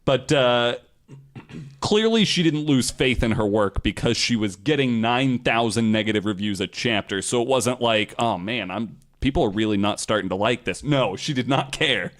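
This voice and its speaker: A man's voice: medium pitch, lively intonation, normal speed, fairly bright tone. A man hosting a podcast, telling a researched story in a casual, profane and theatrically emphatic way.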